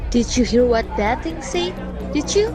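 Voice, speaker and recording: A woman's voice: high-pitched, lively intonation, moderate pace, loud music behind the voice, a loud crowd. A woman playing a frightened, bewildered train passenger in an audio drama. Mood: alarmed.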